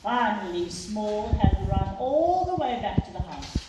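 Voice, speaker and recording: A woman teacher reading a children's story aloud with deliberate, expressive phrasing. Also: medium pitch. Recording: roomy echo, distant mic, faint noise.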